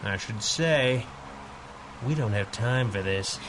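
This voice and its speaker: An actor playing a confident 1930s masked superhero, brisk, deductive and dryly witty, in radio adventure style. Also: male voice, lowish voice, a bit bright, a little hiss or hum, rough recording. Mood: wry, brisk. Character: confident masked male superhero, 1930s pulp mystery man.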